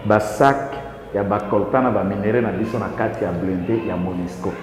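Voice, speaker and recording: A man's voice: lowish voice, normal speed. A man with a Congolese accent giving impassioned political commentary as a guest, emphatic, with rhetorical questions and repeated lists. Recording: echoey reverberant room, faint background noise.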